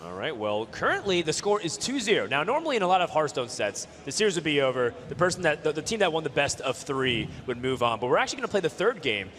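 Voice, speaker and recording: A man casting a live card game tournament, giving upbeat, lively play-by-play. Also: a little high, fast talker, few pauses. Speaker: esports caster. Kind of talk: live esports commentary.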